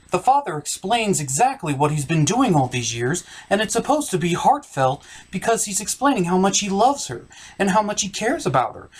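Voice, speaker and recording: A woman's voice: mid-range voice, breathy edge. A woman giving scripted comedic movie commentary with a sarcastic, exasperated manner. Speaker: comic movie reviewer.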